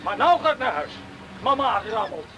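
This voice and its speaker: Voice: medium pitch, very animated, moderate pace, a little hiss or hum. An actor playing a curious, down-to-earth teenage boy in a Dutch radio play, sceptical and bantering. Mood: sceptical, intrigued. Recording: radio play with loud outdoor ambience.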